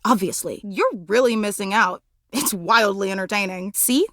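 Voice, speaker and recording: A young woman voicing an excitable, starstruck female fan character in a video game, with dramatic, gushing delivery and casual youthful phrasing. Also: medium pitch, moderate pace, dry recording, clean studio sound, lightly acted. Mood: wistful, frank. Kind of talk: video game voice acting, romance dialogue.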